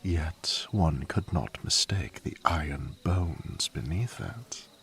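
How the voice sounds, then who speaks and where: Low, unhurried, silver-tongued courtier played by a man. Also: big pitch swings, creaky, a bit bright, exaggerated voice. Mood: smooth flattery. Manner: courtly, theatrical, wry, teasing. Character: loyal, silver-tongued veteran male courtier, dryly witty. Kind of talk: intimate fantasy audio drama acting.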